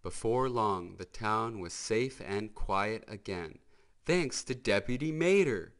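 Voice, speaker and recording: A man narrating a children's storybook in an expressive, emphatic manner. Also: fairly low pitch, slow. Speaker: storybook reader.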